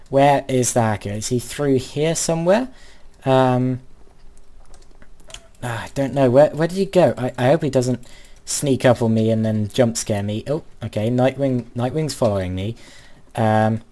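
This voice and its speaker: A man's voice: medium pitch, mellow. A teenage boy, commenting on his gameplay with a lot of hesitation and polite, old-fashioned turns of phrase. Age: teens. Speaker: gaming channel host.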